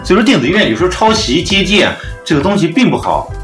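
A man's voice: high-pitched, big pitch swings, fast. A man hosting a casual podcast chat. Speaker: podcast host. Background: background music.

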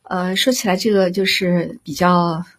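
A woman speaking as a drama director in an unscripted interview, halting as she searches for words. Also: on the low side, steady tone.

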